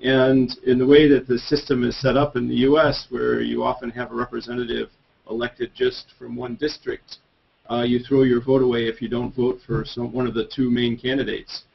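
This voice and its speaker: A man calmly explaining an answer on a video call from an office. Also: mid-range voice, creaky, breathy edge. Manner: measured, explanatory. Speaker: course instructor. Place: office with painting on wall. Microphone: webcam mic on video call. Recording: muffled video-call audio.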